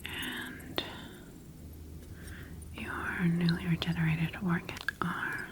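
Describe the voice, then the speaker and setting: A woman voicing a methodical scientist in an ASMR sci-fi medical roleplay, speaking in a measured, formal and gently instructing way. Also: fairly low pitch, little pitch movement. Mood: clinical, calm. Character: clinical, methodical female scientist examining her bioengineered monster creation.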